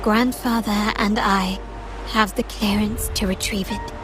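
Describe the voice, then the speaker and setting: A woman's voice: medium pitch, fairly even intonation, a little slow, over music, natural voice. A woman playing a composed young noblewoman heiress in a video game, speaking in a poised, formal and commanding way. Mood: composed, purposeful.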